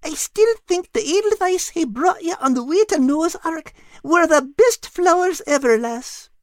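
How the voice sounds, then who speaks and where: Storybook female dog, played by a man: sweet, eager, very high and bouncy. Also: animated, measured pace, no echo, studio quality. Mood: sweet, caring. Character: sweet cheerful female Scottish dog, loyal friend.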